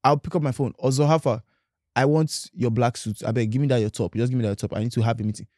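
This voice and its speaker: A young man, talking relaxed in a casual panel chat and mixing in pidgin. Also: mid-range voice, quick pace, no echo, clean studio sound.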